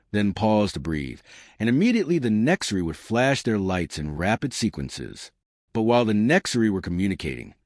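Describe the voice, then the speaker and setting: Low-voiced, expressive male story narrator. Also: no room sound, studio quality. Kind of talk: scripted science fiction story narration.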